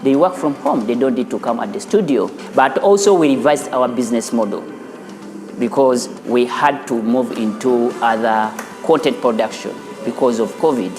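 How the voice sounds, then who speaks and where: A man, a studio founder and teacher, talking in an earnest, unhurried way with small restarts in a documentary interview. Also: mid-range voice. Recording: interview over loud background music.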